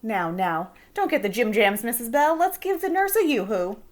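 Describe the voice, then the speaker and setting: A woman's voice: fairly high pitch, lively intonation, moderate pace, natural voice. A woman voicing a jovial, folksy male school principal in a children's story, fussing in bouncy rhyming slang. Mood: soothing.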